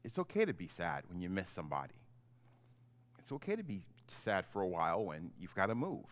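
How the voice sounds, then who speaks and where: A middle-aged man, a pastor, giving an informal, halting children's message in simple, conversational words. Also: low-pitched, big pitch swings. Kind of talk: gentle children's sermon, informal storytelling.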